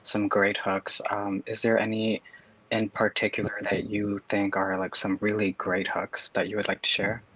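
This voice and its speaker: A man's voice: on the low side, level tone, moderate pace, pushed and bright, slightly rough, poor audio. A man moderating a casual question-and-answer session, passing questions along in an informal way. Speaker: moderator relaying audience questions.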